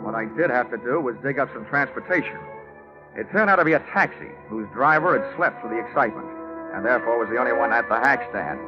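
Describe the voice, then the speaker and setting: An American man playing a wisecracking, hard-boiled insurance investigator in an old radio detective drama, with a wry, terse, deadpan delivery. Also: mid-range voice, quick pace, natural voice. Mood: wry narration. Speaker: lead actor and narrator in a detective radio drama. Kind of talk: 1940s-50s radio acting, first-person scripted narration. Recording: muffled vintage radio broadcast with music.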